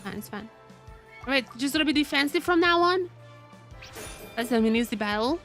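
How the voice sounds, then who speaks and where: A woman game streamer giving casual, expressive live commentary, often repeating her phrases. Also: high-pitched, normal speed, soft background music.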